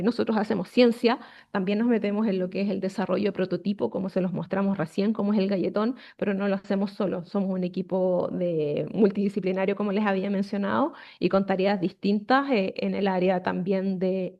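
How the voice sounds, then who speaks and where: A woman presents her lab's research at an online conference. She explains in a steady way and fills her pauses with "eh". Her voice sits at a middle pitch, and the audio is a little dull. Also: vocal fry. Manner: steady explanatory, frequent "eh" fillers.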